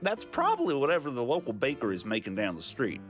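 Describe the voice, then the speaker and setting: A man's voice: mid-range voice, huge pitch swings, fast, music in the background. A man playing a plain-spoken townsman in an audio drama. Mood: matter-of-fact.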